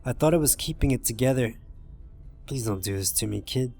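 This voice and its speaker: A man voicing a weary, frightened driver in a zombie visual novel, reading first-person lines in a subdued, halting, brooding way. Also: medium pitch, creaky, mellow. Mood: despairing. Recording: music behind the voice.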